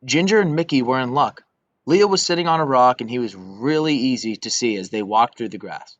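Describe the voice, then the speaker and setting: A young man reading a children's story aloud in an expressive, scripted way. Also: medium pitch, a little fast. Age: young adult. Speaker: volunteer storybook reader. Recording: dull lo-fi recording.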